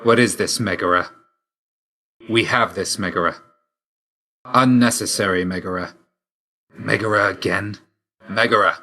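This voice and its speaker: A video game god of death with a low voice and a hard, forceful edge. He is grim and reserved, and he speaks in terse, solemn phrases. A dry loyalty sits under the sternness. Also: steady tone, unhurried, long pauses, full character voice. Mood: dismissive. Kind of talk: scripted video game voice lines.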